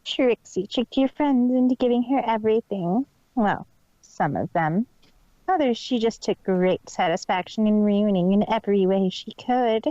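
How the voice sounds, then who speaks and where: A man voicing a boastful, cruel female magician pony in a theatrical, drawn-out manner for an audio story. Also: high-pitched, dark tone, dry recording, dull audio, pitched above the performer's own voice, lightly acted. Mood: gloating, cruel. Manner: theatrical, drawn-out, speaks of self in third person.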